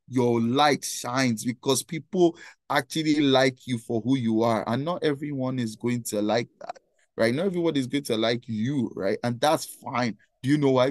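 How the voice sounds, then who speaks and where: A man's voice: medium pitch, darkish tone, dry recording. Earnest Nigerian man, dry close sound. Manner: earnest, repeats "right?" often. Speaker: guest, nonprofit founder. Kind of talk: conversational interview answer.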